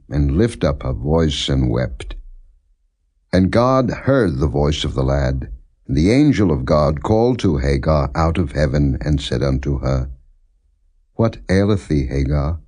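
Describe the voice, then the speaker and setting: A man with a deep voice narrates scripture as an audiobook. He reads formally and solemnly, setting out each phrase in a measured, deliberate way without dramatizing it. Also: darkish tone. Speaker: scripture reader.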